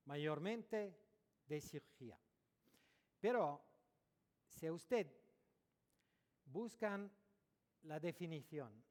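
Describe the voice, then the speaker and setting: Slow, halting non-native lecturer in a hall. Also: male voice, fairly high pitch, a little mellow. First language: French or Italian, not Spanish. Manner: halting non-native Spanish, searching for words and self-correcting. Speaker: dentist giving a conference talk. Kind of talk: conference lecture. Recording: echoey hall recording.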